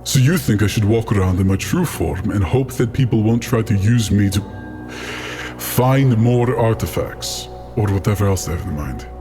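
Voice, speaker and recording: A man voicing a proud, bitter ifrit genie in a tabletop game, speaking in a measured, stern way. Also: fairly low pitch, lively intonation, over music, close to an everyday voice. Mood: distrustful. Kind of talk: improvised tabletop roleplay.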